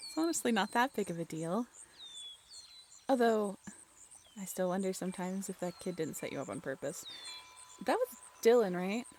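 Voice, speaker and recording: A woman's voice: medium pitch, animated, moderate pace, pauses often, a little mellow, slight background noise, lightly acted. A young woman playing a playful, tough-talking but shy writer in an intimate, chatty audio roleplay. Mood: teasing, amused. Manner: chatty, teasing, giggly.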